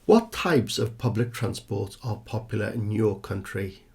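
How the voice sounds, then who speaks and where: A man's voice: lowish voice, animated, unhurried, vocal fry, darkish tone, breathy edge. A man reading scripted exam questions and instructions as an examiner.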